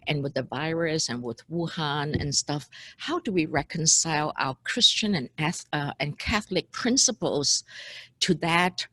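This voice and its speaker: A woman's voice: sits low, sharp tone, breathy edge, no room sound. A woman in her seventies from Hong Kong, an immigration lawyer, earnestly giving a recorded talk that works through a list of points and questions. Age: 70s.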